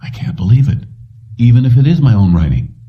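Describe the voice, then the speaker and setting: A man's voice: mid-range voice, big pitch swings, brisk, dull audio, voice pushed up, close to an everyday voice. A man voicing a dazed, indignant male worker who feels cheated, in an audiobook story. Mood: disbelieving.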